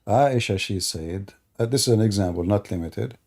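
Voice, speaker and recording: A man's voice: fairly low pitch, normal speed, a little mellow. A man hosting a live call-in stream, talking emphatically in non-native grammar and laughing as he mocks opponents. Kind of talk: casual live call-in chat.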